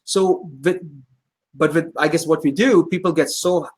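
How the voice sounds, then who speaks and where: A man who owns a pizzeria, telling stories as a guest in a casual interview, animated and full of fillers. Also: a little high, a little slow, breathy edge. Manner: animated storyteller, many fillers.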